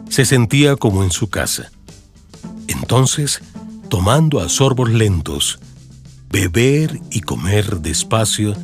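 Deep-voiced, lively Colombian male narrator. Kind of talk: dramatized audiobook narration in radio serial form. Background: music under the voice.

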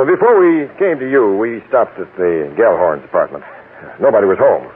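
An actor playing a brusque, methodical police captain questioning people in an old-time radio crime drama. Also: male voice, on the low side, expressive, normal speed, breathy edge. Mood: businesslike, probing. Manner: probing, hesitant uhs, curt. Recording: noisy, narrow-band old radio recording.

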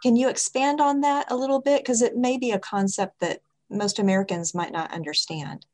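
A woman's voice: on the high side, fairly even intonation, normal speed, darkish tone. A woman interviewer asking questions in a conversational interview.

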